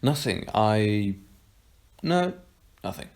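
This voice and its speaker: A man's voice: fairly low pitch, big pitch swings, extremely slow, darkish tone, exaggerated voice. A man playing an awkward, dryly sarcastic young man. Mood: unsure. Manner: hesitant, stammering, dry. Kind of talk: audio drama acting.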